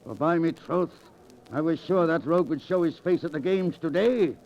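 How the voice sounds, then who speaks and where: An actor playing an imperious, crafty sheriff in old radio style, blustering in archaic speech. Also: male voice, fairly high pitch, normal speed, lightly acted. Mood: indignant. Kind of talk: 1940s radio acting. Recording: dull old 1940s radio recording.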